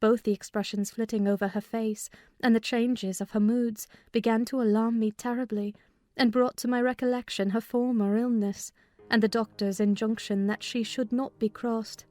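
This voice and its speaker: A woman playing a sensible, scolding housekeeper who narrates a dramatized classic novel, measured in storytelling and firm and brisk in dialogue. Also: a little high, level tone, warm tone, no room sound, clean studio sound, natural voice. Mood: measured, concerned. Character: sensible, scolding housekeeper recounting her mistress's illness.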